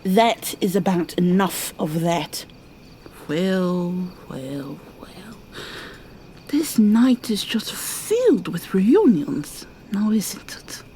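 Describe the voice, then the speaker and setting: Woman putting on a sly drawly stranger lady, slow and dark, sliding into whispers. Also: mid-range voice, big pitch swings, slight background noise. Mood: amused.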